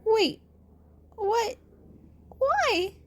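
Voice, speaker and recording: A woman's voice: extremely high pitch, expressive, very slow, pitched above the performer's own voice, full character voice. A woman voicing an anxious, tearful teenage boy who stammers and gets flustered, in a fan fiction reading. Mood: confused, worried.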